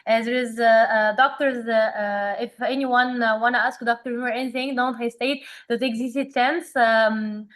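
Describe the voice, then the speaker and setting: A woman moderating a session, courteous and halting, offering formal thanks and inviting questions. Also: on the high side, steady tone, fairly bright tone.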